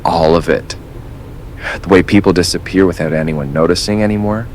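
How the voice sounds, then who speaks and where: An actor playing an earnest first-time caller laying out a conspiracy theory. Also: male voice, low voice, fairly quick, a little mellow, slight background noise, close to an everyday voice.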